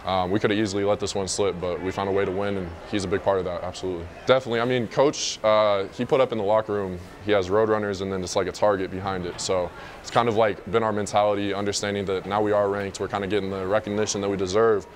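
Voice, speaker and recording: A young man, a college basketball player speaking in a relaxed, modest way in a post-game interview on a lapel mic. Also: fairly low pitch, fast talker, slight background noise. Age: young adult. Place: indoor arena interview backdrop.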